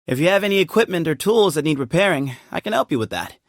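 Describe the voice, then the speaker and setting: A man's voice: fairly high pitch, lively intonation, no room sound, natural voice. An actor voicing an easygoing, playful young man who leads a desert temple, recorded on a studio mic. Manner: playful and chummy, quick to laugh. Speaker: game character voice actor. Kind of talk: video game character voice lines. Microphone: studio microphone. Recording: dry studio booth.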